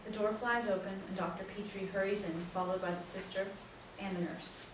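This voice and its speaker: A woman narrates a stage play, reading out scene directions in a scripted style. Her mid-pitched voice comes from far off the mic in a very echoey, noisy room. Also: fairly even intonation, brisk.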